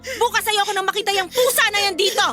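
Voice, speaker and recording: A woman's voice: very high-pitched, fairly even intonation, fast talker, pushed and bright, faint music behind. A woman playing a furious, domineering upper-class mother in a Filipino radio drama, scolding harshly. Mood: cold anger.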